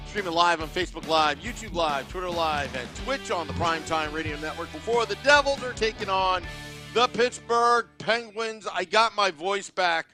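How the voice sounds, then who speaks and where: Male sports host, slightly high-pitched and very bright, live game-day talk, dry close mic.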